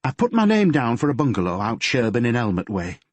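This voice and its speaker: A man voicing a grieving middle-aged mother with a Yorkshire accent, who talks in a gentle, fretful and homely way. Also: mid-range voice, wildly swooping pitch, brisk, no room sound, voice pushed up. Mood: anxious, fretful. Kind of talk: audiobook character voice.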